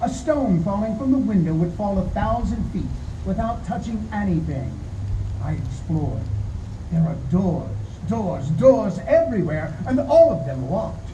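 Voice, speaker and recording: A young English traveller, anxious and earnest, played by a woman narrating his own journey with dramatic build. The voice is mid-pitched, with echo around it and constant wind noise audible behind the outdoor stage recording. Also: big pitch swings, unhurried. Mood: tense. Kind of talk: live community theatre radio play.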